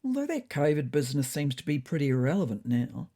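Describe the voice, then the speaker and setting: A woman plays an easygoing male cat friend in a comic fiction podcast. The delivery is low and mellow, with a matter-of-fact, unbothered manner. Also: big pitch swings, quick pace, heavily acted. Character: laid-back male cat pal, catnip-loving comic sidekick.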